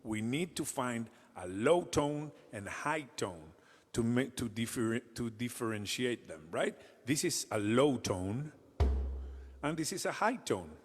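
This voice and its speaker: A man from Venezuela teaching a music rhythm to children, in a halting way that restarts words. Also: medium pitch, expressive, big-room sound, slight background noise. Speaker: percussion teacher, guest performer.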